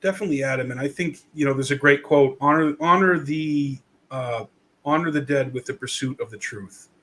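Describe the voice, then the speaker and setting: A man's voice: medium pitch, fairly quick, bright, forceful tone. A man hosting a casual conversation show, talking informally like a guy among buddies. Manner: informal, says "dude" and "man". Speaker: podcast host.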